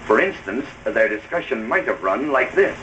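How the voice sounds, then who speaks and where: A man's voice: mid-range voice, big pitch swings, moderate pace. A man giving scripted advice as a host in a formal, oratorical 1930s radio style. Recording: dull, noisy old film soundtrack.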